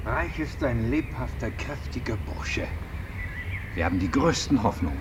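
An actor playing a hearty, confident clinician of the future in a science-fiction radio play. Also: male voice, medium pitch, sing-song, normal speed, lightly acted. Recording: dull, noisy 1970s radio recording.

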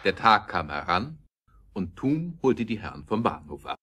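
A man's voice: lowish voice, big pitch swings, moderate pace, sharp tone, a little hiss or hum. A man reading scripted narration as the storyteller of a German audio drama.

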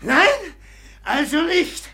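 Drunken, boastful rich merchant: very high, swooping voice, impulsive outbursts, old radio drama. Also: male voice, lively intonation, gravelly, slight background noise, full character voice. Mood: taunting, reckless. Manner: shouting, laughing, impulsive, drawn-out emphatic phrases. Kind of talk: 1950s German radio drama acting. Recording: 1950s radio recording.

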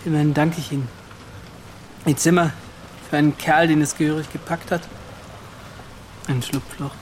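An actor playing a troubled, proud and nervous young man in a German radio play, speaking in halting, broken phrases. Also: on the high side, fairly even intonation, natural voice. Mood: proud, defiant. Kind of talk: German radio drama acting. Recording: clear rain noise behind the voice. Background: rain.

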